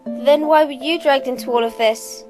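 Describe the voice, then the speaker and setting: An anxious, impulsive young princess, voiced by a woman in an amateur fantasy radio play. She makes demands in a high voice, with music clearly behind her. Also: moderate pace, natural voice. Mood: anxious, demanding.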